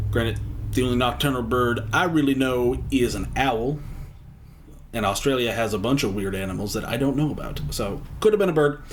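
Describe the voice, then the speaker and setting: A man hosting a reaction video, chatting casually and rambling with halting fillers. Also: mid-range voice, a little hiss or hum.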